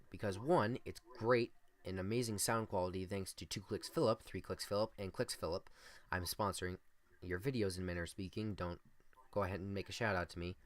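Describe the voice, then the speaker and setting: A young man with a deep, monotone voice co-hosting a casual improvised podcast and rambling through his points on a desktop USB mic. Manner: rambling, filler-heavy. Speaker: podcast co-host.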